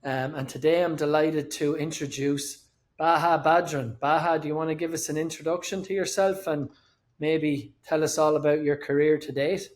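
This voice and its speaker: Podcast host guy, friendly chatty questions, fairly high voice. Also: steady tone, moderate pace. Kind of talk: podcast interview.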